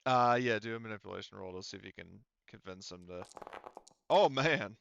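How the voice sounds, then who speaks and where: Low, smooth-voiced man running a tabletop game. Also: lively intonation, a bit bright, no echo, dull audio. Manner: hesitant, halting phrasing as he improvises. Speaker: game master. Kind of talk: improvised narration of a tabletop roleplaying game.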